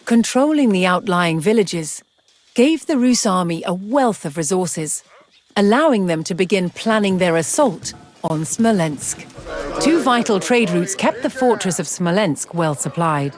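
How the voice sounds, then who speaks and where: A woman giving scripted, documentary-style narration for a historical strategy game, measured and formal in her storytelling. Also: lowish voice, lively intonation. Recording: music behind the voice. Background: music.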